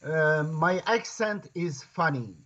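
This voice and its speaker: A man's voice: a little high, animated, slow, a bit bright. Man, earnest lecturer. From Turkey. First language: Kurdish. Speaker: guest scholar. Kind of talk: interview over video call. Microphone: video call. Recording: lo-fi video call audio.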